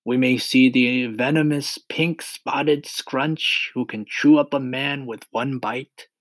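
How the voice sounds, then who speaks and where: A man's voice: medium pitch, fairly even intonation, slow pace, a bit bright, dry recording, pitched above the performer's own voice. A man playing a boastful, exuberant centipede, declaiming mischievous sing-song rhymes in a children's storybook reading. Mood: mischievous, playful.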